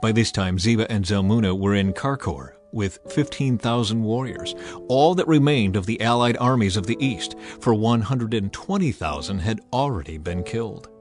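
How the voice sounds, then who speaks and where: A man's voice: on the low side, lively intonation, normal speed, faint music behind. A man narrating a dramatized Bible audiobook in a scripted storytelling style.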